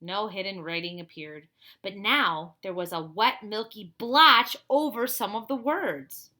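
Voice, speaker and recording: A woman reading a children's storybook aloud in an expressive style, with chatty asides to her young listeners. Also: mid-range voice, unhurried. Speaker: teacher reading aloud to pupils.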